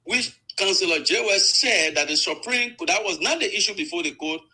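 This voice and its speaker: A man's voice: high-pitched, animated, sharp tone, low-quality recording. A man, a lawyer making a formal legal argument on a panel show. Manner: measured, formal courtroom phrasing.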